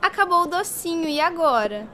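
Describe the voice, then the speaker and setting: Eager, high bright little Brazilian schoolgirl. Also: expressive, normal speed, exaggerated voice. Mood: puzzled. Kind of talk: radio drama acting.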